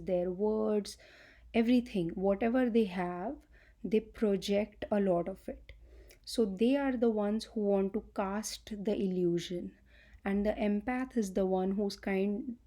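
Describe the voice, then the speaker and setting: A young woman talks gently to her audience at a slow, measured pace. Her voice is mellow and warm, with many fillers as she explains, and she records without a proper microphone. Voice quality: low-pitched voice by her own account. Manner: soft-spoken, slow and explanatory, frequent fillers. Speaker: channel host and healing coach. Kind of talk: casual talk to camera. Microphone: no microphone, recorded on device.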